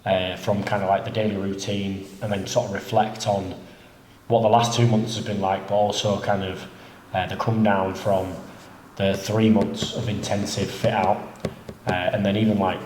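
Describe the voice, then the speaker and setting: A man's voice: fairly low pitch, moderate pace. A man with a cold and a slightly nasal voice, chatting casually as a jiu-jitsu coach about opening a new gym. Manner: relaxed, rambling, many fillers. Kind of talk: casual chat. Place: inside a martial arts gym. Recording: echoey room with faint noise.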